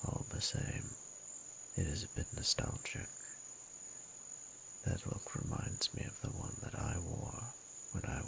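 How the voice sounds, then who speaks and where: Man voicing a very gravelly, regal flirtatious dragon. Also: a bit bright, slight background noise, heavily acted, low-quality recording. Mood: teasing. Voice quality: very deep, breathy, gravelly. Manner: formal, archaic, teasing and courtly. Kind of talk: romantic audio roleplay, intimate slow monologue.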